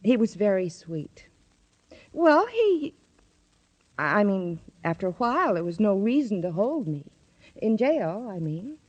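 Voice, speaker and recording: An actress playing an eager, coy secretary to a detective in a 1950s radio drama, playful and flirtatious. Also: female voice, mid-range voice, very animated, a little slow, long pauses, darkish tone, highs cut off. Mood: coy, teasing.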